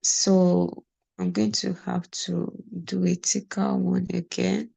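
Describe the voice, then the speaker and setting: A woman teacher from Nigeria with a Nigerian accent, explaining beginner German phrases and repeating example words slowly one at a time. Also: lowish voice, little pitch movement, gravelly, darkish tone, husky. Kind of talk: slow classroom explanation.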